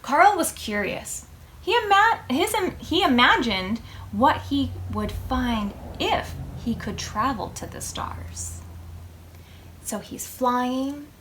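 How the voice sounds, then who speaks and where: Slow, high-voiced woman reading to preschoolers. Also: slight background noise. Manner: expressive, with questions to young listeners and drawn-out words. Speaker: librarian storytime host. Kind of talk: animated picture-book read-aloud for preschoolers.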